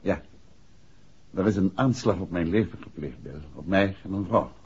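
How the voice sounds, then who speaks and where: An actor playing a shrewd, courteous gentleman detective with a Netherlands Dutch accent in a 1960s radio drama, polite but probing. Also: low voice, lively intonation, normal speed, breathy edge, heavily acted. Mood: probing, direct. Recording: muffled old radio recording.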